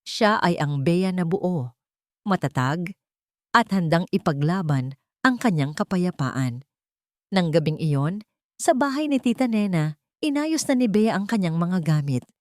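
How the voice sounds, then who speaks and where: A woman's voice: on the low side, dry recording, clean studio sound. A woman narrating a scripted, dramatic love story as a storyteller.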